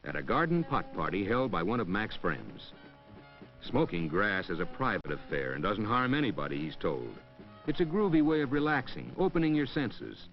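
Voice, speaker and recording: A man reading scripted narration for a 1960s educational film in a wry storytelling way, echoing hip slang. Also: sits low. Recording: dull old 1960s film soundtrack with music. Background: background music.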